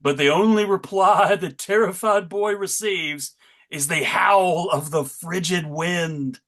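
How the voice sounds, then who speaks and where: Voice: mid-range voice, slow pace, fairly bright tone. A narrator reading a comic book aloud dramatically, with wry asides.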